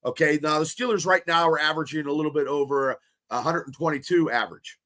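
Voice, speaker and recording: A man co-hosting a sports podcast, talking in excitable, rambling bursts full of restarts, with a stuffy nose. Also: on the high side, fast talker. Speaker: podcast co-host. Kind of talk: casual sports podcast chat.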